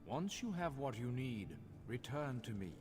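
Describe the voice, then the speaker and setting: Haughty master craftsman, lively pitch, reverberant. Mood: instructive. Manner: formal, measured, instructional. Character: haughty scholarly male master craftsman trainer, formal and businesslike. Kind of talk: fantasy video game voice acting. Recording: long hall reverb, faint music behind.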